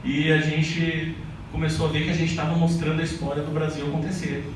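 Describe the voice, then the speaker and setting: A man's voice: a little high, completely flat delivery, a little fast, background noise. A man from southern Brazil giving a loose, conversational talk to an audience at a book launch, often restarting his sentences. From Paraná, Brazil. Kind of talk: speech to an audience. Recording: echoey hall, mic far off, audible ambience.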